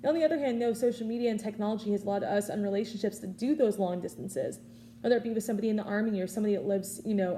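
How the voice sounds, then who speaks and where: A woman's voice: medium pitch, mellow, slight background noise. A woman in her early twenties giving an informal prepared speech, offering earnest advice in a conversational way. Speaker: student public speaker.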